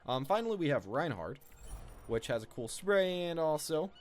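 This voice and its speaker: A man's voice: on the high side, sing-song, normal speed. A man giving casual, off-the-cuff gaming commentary as a video host, rambling and repeating his own phrases.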